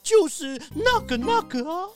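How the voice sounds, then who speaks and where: A woman's voice: very high voice, sing-song, measured pace, over music, full character voice. A woman playing a timid male gibbon gardener who hesitates and stammers secretively, in a children's audio drama. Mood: fearful, secretive.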